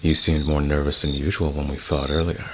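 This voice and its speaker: A man's voice: a bass voice, level tone, slight background noise. A man playing a smug, teasing fantasy villain who flirts in an intimate ASMR roleplay monologue. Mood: flirtatious, challenging. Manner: teasing, probing, drawn-out pauses.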